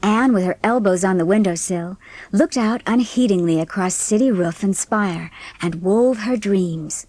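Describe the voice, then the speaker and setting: A woman's voice: medium pitch, a little slow, a little mellow, no room sound. A woman reading a classic novel as an audiobook narrator, in scripted storytelling style.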